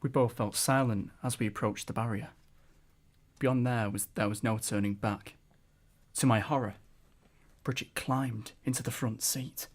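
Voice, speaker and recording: A man's voice: medium pitch, steady tone, unhurried, close to an everyday voice. A man acting in an audio drama as a nervous, wry character with a dry, anxious delivery. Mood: dry dread. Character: nervous, wry man dreading a fairground ride. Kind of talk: audio drama dialogue and first-person narration. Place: recording studio. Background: music.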